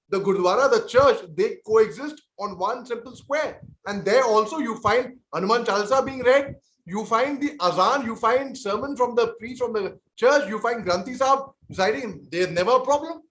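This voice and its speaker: Indian male panelist, 40s, high-pitched, sincere and peacemaking, echoey room. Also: a bit bright. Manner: earnest, conciliatory, often repeats and restarts words. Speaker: army veteran panelist on a TV debate. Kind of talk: televised panel debate.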